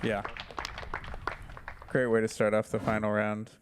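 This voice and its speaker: An American man commentating on a disc golf final in a relaxed, easygoing way. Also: on the low side, a little slow, smooth. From United States. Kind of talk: sports commentary.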